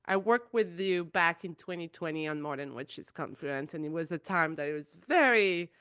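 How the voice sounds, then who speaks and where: A woman's voice: lowish voice, expressive, moderate pace, a bit bright, clean tone, no echo, studio quality. A woman co-hosting a podcast, chatting in an effusive way with frequent restarts. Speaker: podcast co-host. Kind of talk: casual chat.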